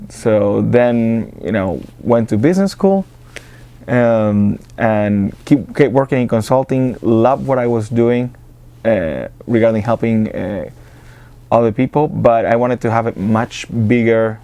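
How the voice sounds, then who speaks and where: A man's voice: on the low side, lively intonation, takes it slowly, creaky, mellow. A man from Argentina with an Argentine accent, a guest in an interview who talks casually with many fillers and restarts. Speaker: interview guest, business accelerator director.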